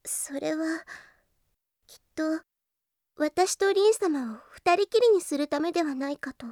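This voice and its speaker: Very high-pitched young anime girl, gentle, devoted, demure, formal polite phrasing, audio drama. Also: measured pace, no echo, studio quality. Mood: apologetic, wistful. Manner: very polite, hesitant, apologetic speech.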